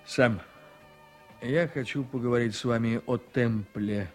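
An actor playing a tipsy, insistent prosecutor who speaks with weight in a radio play. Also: male voice, mid-range voice, a little slow, faint music behind, lightly acted. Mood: insistent, suspicious. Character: insistent male prosecutor, after much beer.

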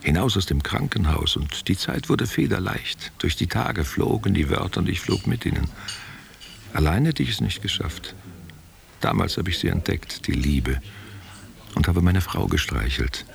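Very deep, gravelly old stage actor. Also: male voice, exaggerated voice. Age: older. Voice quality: gravelly, husky. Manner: musing, halting, poetic. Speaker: actor in a radio play. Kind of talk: literary radio play monologue, intimate and theatrical.

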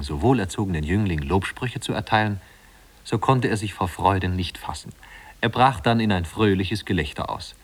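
A man narrating a literary tale in a 1950s radio drama, in a measured, wry storytelling manner. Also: lowish voice.

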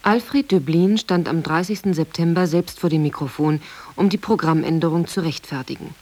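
A woman's voice: lowish voice, moderate pace, mellow. A woman reading historical press reviews in a formal style for a radio documentary.

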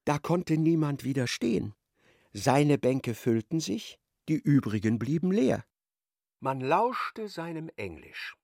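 Man, expressive radio play narrator, mid pitch, very animated, creaky edge, dry studio sound. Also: takes it slowly, no echo. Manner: storytelling, expressive.

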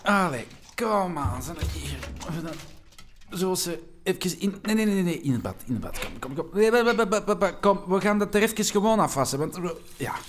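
A man's voice: sits high, animated, a little fast, over music. An actor with a Flemish accent playing a flustered, indignant father in a radio comedy, stammering and repeating himself. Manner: stammering, repetitive, colloquial. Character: flustered, indignant father splitting up with his partner. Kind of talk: naturalistic radio comedy acting.

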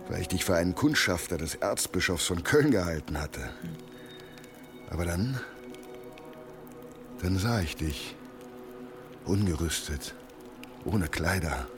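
Low-voiced, devoted medieval husband. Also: male voice. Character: devoted medieval warrior husband, wistfully reminiscing. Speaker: actor in an audio drama. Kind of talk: German radio drama acting.

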